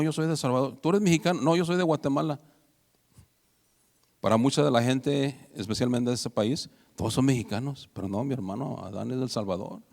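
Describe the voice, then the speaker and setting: A man's voice: medium pitch, creaky, a little mellow. A man preaching a conversational sermon to his congregation, asking them rhetorical questions. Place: church hall. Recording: reverberant church hall.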